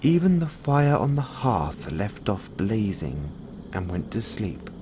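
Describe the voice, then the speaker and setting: A man with a low voice narrates a bedtime fairy tale. His pitch moves expressively through each phrase, and there is faint noise behind him. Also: sing-song, takes it slowly. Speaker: storyteller.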